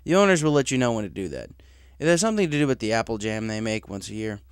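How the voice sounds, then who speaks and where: A man's voice: fairly low pitch, very animated, quick pace, clean tone, no room sound, studio quality. A man voicing an easygoing, businesslike adult male pegasus boss in a story, casual, frank and matter-of-fact. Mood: instructive.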